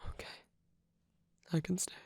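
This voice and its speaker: A performer voicing a soft-spoken villain in an intimate romantic ASMR roleplay, gentle, protective and hesitant, with a breathy, husky, often whispered delivery. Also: unhurried, dry recording, natural voice. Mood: gentle, caring. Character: soft-spoken villain, tender and protective toward abandoned hero.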